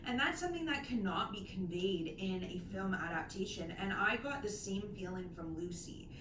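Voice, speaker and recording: A woman's voice: mid-range voice, expressive, moderate pace. A woman talking casually about books, enthusiastic and emphatic, often wandering off into side remarks. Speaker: co-host of a book discussion channel. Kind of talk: casual chatty book discussion. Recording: echoey room, distant mic, clear background noise.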